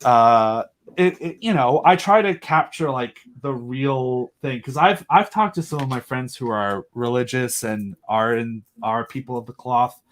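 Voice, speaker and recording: A man's voice: mid-range voice, a little slow. Eager, rambling man in a chat. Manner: enthusiastic and rambling, with frequent fillers. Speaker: podcast guest and audio drama creator. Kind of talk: casual conversational chat.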